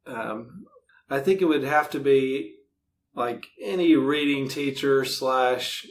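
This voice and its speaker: A middle-aged man giving casual, rambling, self-deprecating answers as a podcast guest. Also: slow. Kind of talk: casual conversational interview answers. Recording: faint low rumbling background noise.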